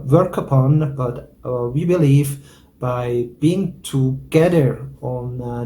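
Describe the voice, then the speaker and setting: A man from Switzerland introducing himself and his work as a spiritual teacher, pausing often with "uh". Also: medium pitch, mellow. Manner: slow, many hesitant "uh" fillers. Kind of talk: calm introduction.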